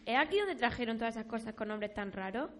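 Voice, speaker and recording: A woman as a quick, inquisitive young villager. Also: fairly high pitch, expressive, fairly bright tone, a little hiss or hum. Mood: curious. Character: curious young villager asking questions about local history. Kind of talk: conversational radio play acting.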